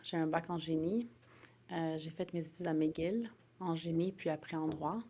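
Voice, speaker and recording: A woman with a very low voice and a clear vocal fry gives conversational interview answers. She has a Quebec French accent and feels her way through sentences, repeating and rephrasing. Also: steady tone, normal speed, dark tone, slight background noise. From Montreal, Quebec, Canada. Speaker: interview guest, lawyer.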